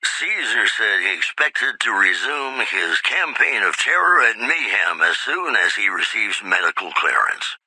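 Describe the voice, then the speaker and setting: An actor playing a suave, romantic radio DJ in a video game, smooth-talking and intimate, with theatrical pauses and emphasis. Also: male voice, on the low side, sing-song, sharp tone, dry recording, heavily acted, rough recording. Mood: suave newsreading. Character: suave romantic male lounge-style radio host, flirty and sincere. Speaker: radio DJ and newsreader. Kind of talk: retro radio DJ patter and news bulletins.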